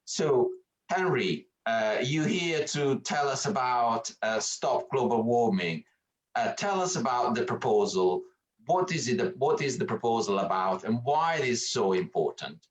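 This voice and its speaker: A man's voice: medium pitch, lively intonation, unhurried, poor audio. A man hosting an online panel, thanking guests and introducing speakers with many hesitations. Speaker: panel host.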